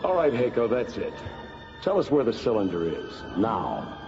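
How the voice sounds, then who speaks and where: An actor playing a firm, brisk, commanding hero in a radio adventure drama. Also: male voice, mid-range voice, big pitch swings. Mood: stern. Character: commanding hero posing as a sky god. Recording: muffled old radio drama with loud music.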